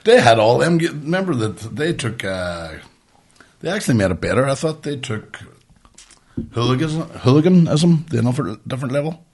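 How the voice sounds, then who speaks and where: A man's voice: medium pitch, expressive, brisk, a little mellow. A man in his thirties with a Northern Irish accent, chatting casually and swearing freely in a blunt, emphatic way as a podcast host. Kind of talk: casual banter, animated storytelling.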